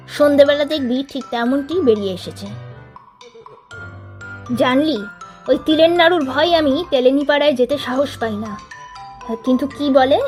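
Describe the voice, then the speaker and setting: A woman's voice: on the high side, a little mellow, voice pushed down, natural voice. A woman voicing a boastful teenage boy who leads his gang, spinning a tall tale in a teasing tone. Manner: bragging, teasing storyteller tone. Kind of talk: storybook reading of a figure's tall tale.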